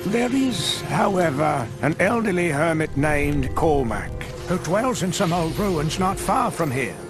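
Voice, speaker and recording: An actor playing a grave male monk owl who warns and gives instructions in a video game cutscene, over loud game music. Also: on the high side, expressive, measured pace. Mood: solemn. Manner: solemn, cautioning.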